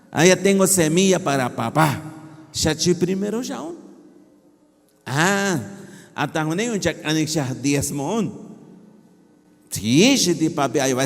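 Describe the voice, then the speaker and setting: A man's voice: high-pitched, in a large hall. A man preaching animatedly, mixing languages, with frequent affirmations.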